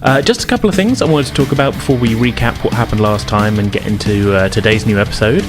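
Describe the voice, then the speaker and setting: A man's voice: on the low side, fast talker. A man hosting and game mastering a tabletop role-playing podcast in an informal, chatty way. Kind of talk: casual podcast intro and tabletop game narration. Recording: music behind the voice. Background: music.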